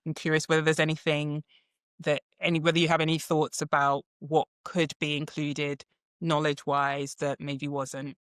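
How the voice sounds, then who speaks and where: A man interviews guests in a conversational, tentative way, rephrasing as he goes. His voice is smooth and clear, with a fairly flat melody. The recording is dry and close. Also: on the high side, normal speed, no room sound. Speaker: podcast host.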